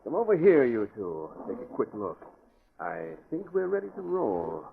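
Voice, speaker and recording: An actor playing an older crime boss who gives calm, bossy orders, with a husky voice, in 1940s radio acting. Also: male voice, medium pitch, moderate pace, slight background noise. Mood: commanding. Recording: tinny old radio broadcast.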